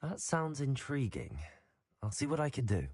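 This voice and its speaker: An actor playing a polite, helpful young wizard student in a video game, composed in manner. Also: male voice, mid-range voice, sing-song, moderate pace, darkish tone, husky, no room sound, studio quality. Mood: interested.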